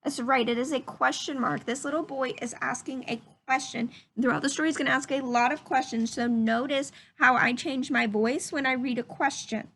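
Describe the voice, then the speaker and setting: A woman's voice: high-pitched, steady tone, brisk, few pauses, fairly bright tone, slight background noise. A woman teaching young children through a read-aloud, explaining things simply and putting questions to her listeners.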